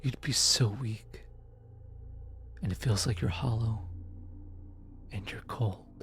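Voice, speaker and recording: A man playing a gentle, apologetic demon who comforts lost souls in an ASMR roleplay, speaking softly and hesitantly. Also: on the low side, takes it slowly, gravelly, slight background noise, full character voice. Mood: comforting, indignant. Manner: soft, soothing, hesitant with stammers. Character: gentle, apologetic male demon soul collector comforting the newly dead. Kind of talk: ASMR roleplay audio drama.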